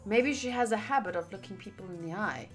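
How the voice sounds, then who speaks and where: A woman's voice: medium pitch, fairly quick, faint music behind. A woman with an American accent, playful and theatrical, reading a visual novel aloud and chatting as she streams. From United States.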